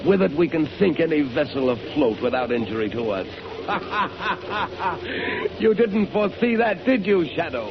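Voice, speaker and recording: An actor playing a ruthless, boastful submarine pirate in old-time radio style, gloating and excitable in a theatrical way. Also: male voice, on the high side, measured pace, crowd noise. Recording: muffled, noisy vintage radio drama recording.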